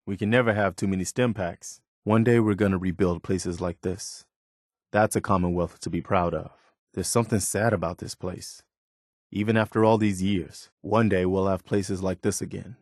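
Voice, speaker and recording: A man's voice: on the low side, darkish tone, no room sound, studio quality, close to an everyday voice. A man voicing an earnest, hopeful militiaman companion in a post-apocalyptic video game, speaking in a plain, wistful and wary manner. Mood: wary, watchful.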